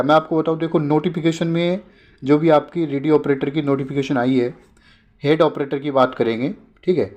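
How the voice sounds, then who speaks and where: A man's voice: medium pitch, a little mellow. A man hosting an informal news explainer, repeating points and posing short questions that he answers himself. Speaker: host of an exam updates channel.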